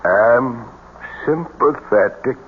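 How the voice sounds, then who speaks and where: An actor playing a frail, solemn holy man who holds seances, speaking haltingly and portentously in a radio drama. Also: medium pitch, animated. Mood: solemn, strained. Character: solemn, frail holy man, fake spirit medium in trance. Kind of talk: old-time radio drama acting. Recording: noisy, tinny old radio broadcast.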